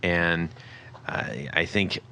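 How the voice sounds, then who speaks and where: A man hosting a podcast interview, asking halting questions with fillers and friendly reactions. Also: sits low, pushed and bright, smooth. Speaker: podcast host. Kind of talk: casual interview chat.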